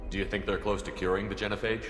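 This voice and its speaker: An actor playing a steady human military commander in a video game, direct and matter-of-fact. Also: male voice, lowish voice, quick pace, faint music behind, close to an everyday voice. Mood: inquiring, steady. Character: steady, businesslike human male space commander. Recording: echoey hall with long reverb.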